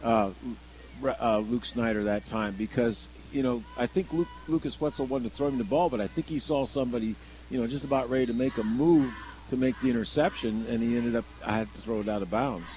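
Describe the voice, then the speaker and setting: An American man giving conversational color commentary on a football game, halting and repeating words as he thinks aloud. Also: mid-range voice, darkish tone, rough recording. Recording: clear background noise on live broadcast.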